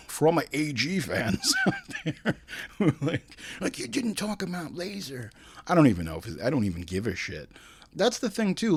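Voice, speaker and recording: Male review host, mid-pitched and highly animated. Casual, comedic, foul-mouthed and exasperated, with emphatic slangy asides. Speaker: host of a movie review show.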